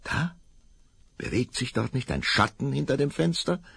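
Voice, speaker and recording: A man's voice: medium pitch, lively intonation, moderate pace, gravelly, a bit bright, slightly rough, no echo. A man narrating a children's radio play, telling the story vividly and dramatically.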